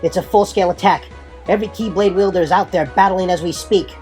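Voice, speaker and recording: A man as a breathless, high-pitched young soldier. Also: fairly even intonation, quick pace, darkish tone, close to an everyday voice. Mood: panicked. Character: panicked young male soldier, breathless messenger. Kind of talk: audio drama character acting. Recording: music bed behind the voice.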